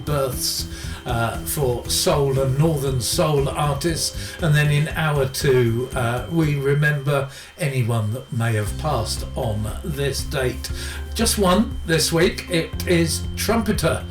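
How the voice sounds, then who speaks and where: A man's voice: medium pitch, takes it slowly. A man presenting a music radio show in an informal, hesitant way, reeling off record details. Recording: roomy sound with music behind.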